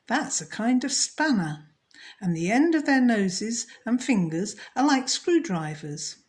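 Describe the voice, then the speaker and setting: Woman voicing an assured storybook monster expert, male, lifted pitch. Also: sing-song, measured pace. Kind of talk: children's storybook character voice.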